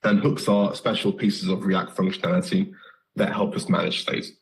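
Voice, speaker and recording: A British man with a hoarse, slightly nasal voice from a recent flu, giving a live coding lesson with many ums and self-corrections. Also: deep voice, little pitch movement, normal speed, low-quality recording. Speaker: coding instructor.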